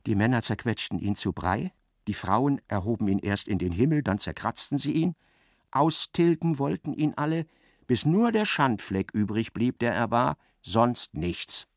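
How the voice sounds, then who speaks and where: A man narrating a literary radio drama as a wry, intense storyteller, expressive and precise, with a creaky voice. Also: mid-range voice, normal speed, no echo, clean studio sound, close to an everyday voice.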